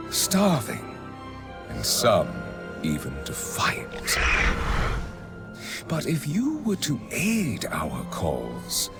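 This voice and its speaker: A man's voice: low-pitched, heavily acted. A man playing a grandiose, manipulative aristocratic vampire master in a video game, speaking in a pompous, silky, theatrical manner. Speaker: ruler addressing subjects. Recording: dramatic orchestral music behind.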